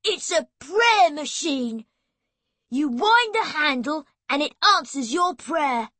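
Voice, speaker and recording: Hot-tempered little boy, loud and high, played by a woman. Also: lively intonation, slow pace, no room sound, full character voice. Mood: rude. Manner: shouting, furious.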